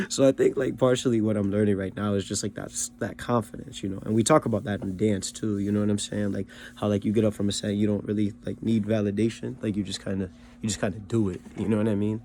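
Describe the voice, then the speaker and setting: Laid-back slangy young man, low gravelly voice. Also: level tone, fast talker, mellow, slight background noise. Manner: laid-back, slangy, many fillers like "you know what I mean". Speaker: rapper learning to sing, vlog host. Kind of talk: casual vlog recap.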